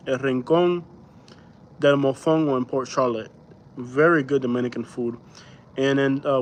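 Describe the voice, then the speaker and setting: A man's voice: medium pitch, a little hiss or hum. A man from Florida hosting a casual late-night live chat. He rambles loosely in a slangy, easygoing way, reading out viewers' comments and answering them. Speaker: livestream host.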